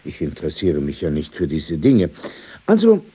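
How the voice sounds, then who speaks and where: A man's voice: extremely low pitch, wildly swooping pitch, warm tone, husky, heavily acted. An actor playing a courteous, opinionated old man in a radio play, telling anecdotes insistently with many asides. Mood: courteous, narrating. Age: older. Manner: polite, insistent, drawn-out storytelling with asides.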